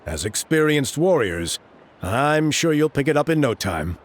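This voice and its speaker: A man's voice: medium pitch, sing-song, a little slow, slight background noise. Exasperated, gossiping tribesman. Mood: persuasive. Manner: exasperated gossip, sarcastic mimicry.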